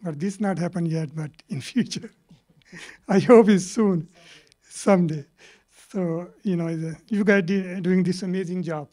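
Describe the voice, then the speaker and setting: A middle-aged man from Pakistan with a Pakistani accent, a Punjabi native speaker, giving rambling, halting panel remarks full of fillers into a handheld mic in a lecture hall. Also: high voice, warm tone.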